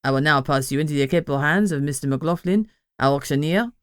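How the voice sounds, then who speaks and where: A woman's voice: fast, smooth, no room sound, clean studio sound, natural voice. Woman doing a pompous French witch lord. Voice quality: deep. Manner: pontificating, sinister. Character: pompous deep-voiced male French witch leader.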